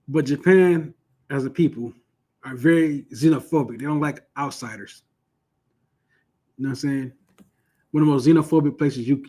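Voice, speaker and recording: Husky-voiced man teaching a Bible class. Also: a little high, dark tone. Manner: conversational, keeps asking "you know what I'm saying"; emphatic, repeats points for stress. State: starting to lose his voice. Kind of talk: informal online Bible lesson.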